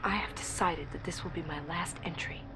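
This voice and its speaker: An actress playing a besotted young bride drawn into a cult, delivering an intense, breathy diary monologue in an audio drama. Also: female voice, fairly low pitch, steady tone, fairly quick, background noise, close to an everyday voice. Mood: fervent anticipation.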